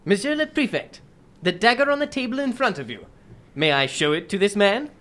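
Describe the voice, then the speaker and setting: A woman playing a courteous, self-assured gentleman detective, composed, deliberate and formal, in an old-time radio drama. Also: mid-range voice, sing-song. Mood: probing.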